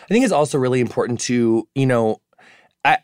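Fast-talking, impassioned man in his thirties. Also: expressive, a little mellow, no echo, studio quality. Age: 30s. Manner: impassioned, emphatic, rising to high-pitched outrage. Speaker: podcast host. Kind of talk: casual political chat.

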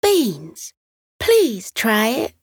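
Kindly storybook lady pig played by a woman, coaxing and earnest, slow, high-swooping lilt. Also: huge pitch swings, slightly rough, no room sound, studio quality, full character voice. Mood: eager.

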